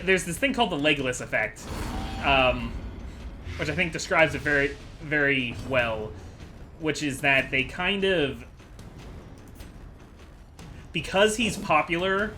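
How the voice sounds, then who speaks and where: A man's voice: a little high, pushed and bright. A man giving casual, rambling commentary as the host of a gaming video, chatty and digressive as he thinks aloud.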